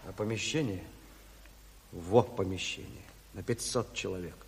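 Brash revolutionary sailor, echoing hall. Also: male voice, deep voice, moderate pace, a little mellow, a little hiss or hum. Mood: sly, teasing. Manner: rough slangy bluster. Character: brash, pugnacious revolutionary sailor, rough and teasing. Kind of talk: Soviet radio play acting. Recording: long hall reverb on 1970s radio play.

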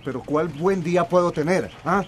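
A man's voice: a little high, moderate pace, slight background noise, close to an everyday voice. A man playing a shaken, demanding rural father in grief in a radio drama. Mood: indignant, demanding. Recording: music bed behind the voice.

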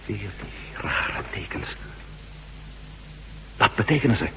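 An actor playing an inquisitive, self-assured reporter in a radio drama, brisk and questioning with a sardonic edge. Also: male voice, on the low side, big pitch swings, noisy background. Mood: sceptical, irritated. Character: inquisitive male ex-astronaut reporter, self-assured, bold, wry. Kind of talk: Dutch radio drama acting.

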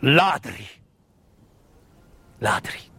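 A man delivers a theatrical narrative monologue as a stage storyteller, solemn and dramatic, with repeated words and weighty pauses. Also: medium pitch, very animated, gravelly, pushed and bright. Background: live musical accompaniment.

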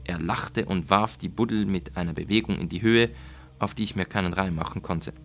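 Male audiobook narrator: low voice, measured and deliberate literary storytelling, music underneath. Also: fairly bright tone, slight background noise.

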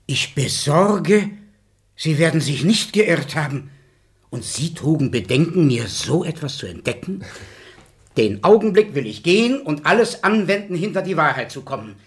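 An actor playing an anxious baron who pleads urgently in a classic radio play. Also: male voice, a little high, sing-song, moderate pace. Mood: alarmed. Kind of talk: stage radio play acting.

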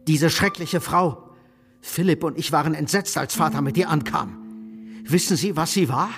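An actor playing an overwrought, emotional grown son in a radio crime drama, agitated and effusive. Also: male voice, a little high, moderate pace, long reverb tail, loud music behind the voice, lightly acted. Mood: outraged.

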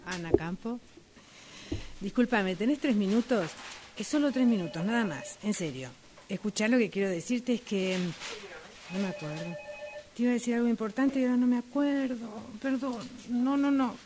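A woman with an Argentine accent performs a short drama exercise. Her voice sits fairly high, with a little noise behind it. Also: moderate pace. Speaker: radio drama workshop student. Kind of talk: acted dialogue exercise.

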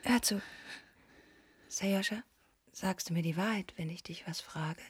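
Breathy, distraught young wife. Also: female voice, fairly low pitch, measured pace. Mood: intimate, pleading. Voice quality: breathy, often dropping to whisper. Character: distraught, passionate young married woman in unhappy love. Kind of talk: intimate, emotional radio drama acting.

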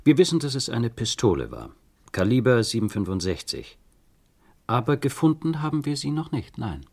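An actor playing a police investigator in a radio crime drama, matter-of-fact and clipped. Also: male voice, mid-range voice, sing-song, moderate pace, vocal fry, a little mellow.

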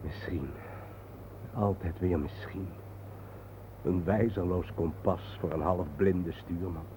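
An actor playing a brooding, philosophical man in a radio drama, with grave, poetic, deliberate delivery. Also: low voice, fairly even intonation, mellow. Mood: bitter brooding. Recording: narrow phone-like old radio recording, faint hiss.

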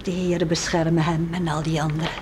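A woman's voice: low-pitched, level tone, normal speed, lightly acted. An actress playing a devout, worried farmer's wife and mother in a radio play, earnestly musing aloud to herself. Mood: anxious, devout. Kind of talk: Dutch radio play acting, monologue and dialogue. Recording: faintly noisy radio play recording.

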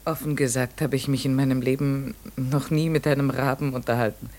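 A polite, methodical man as an actor plays him in a classic radio drama. His medium-pitched voice is careful and articulate, with a curious, tentative courtesy. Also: steady tone, a little fast, a little mellow, natural voice. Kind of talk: classic German radio play, narration and dialogue.

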